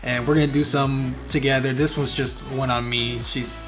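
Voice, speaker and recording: A man's voice: mid-range voice, level tone, normal speed. A young man with a Spanish accent, Spanish his first language, talking casually as a travel vlogger, full of fillers and upbeat asides. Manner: chatty, filler-heavy, upbeat. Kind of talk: casual vlog narration. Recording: music playing over the voice.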